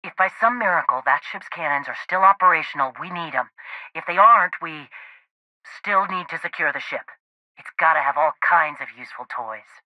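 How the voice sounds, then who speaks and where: An actress playing a tough, gruff female rebel leader in a video game, with a blunt, salty, commanding manner. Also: low voice, dry recording, lo-fi sound. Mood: urgent, pleading.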